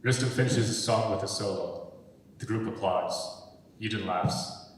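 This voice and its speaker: Low-voiced male narrator in echoey hall. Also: moderate pace. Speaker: stage-direction narrator. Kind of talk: scripted narration at a dramatic reading. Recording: echoey hall, far from mic, faint noise.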